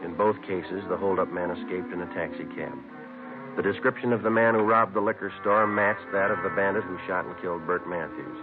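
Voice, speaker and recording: Guy acting real deep calm cop narrator, just the facts, old time radio crime show, tinny sound, music under. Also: a little fast, lightly acted. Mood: matter-of-fact. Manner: deadpan, matter-of-fact. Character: calm, businesslike police detective sergeant narrating his case. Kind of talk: 1950s radio police drama, clipped factual narration. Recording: muffled narrow-band old radio, music behind.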